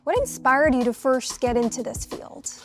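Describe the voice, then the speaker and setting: A woman's voice: high-pitched, moderate pace, gravelly, soft background music. A woman hosting a team show, presenting and interviewing in an upbeat, polished way.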